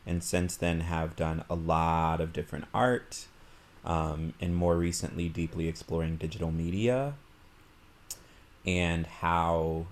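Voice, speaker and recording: Deep-voiced man, measured and earnest. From Illinois, US. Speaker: digital media producer. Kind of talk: casual group introduction.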